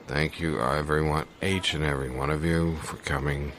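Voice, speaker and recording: Man as a very deep, ponderous academic. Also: fairly even intonation, a bit bright, a little hiss or hum. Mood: solemn, pompous. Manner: halting, formal, pompous. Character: ponderous academic doctor of theology, meekly interrupting. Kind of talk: improvised audio comedy.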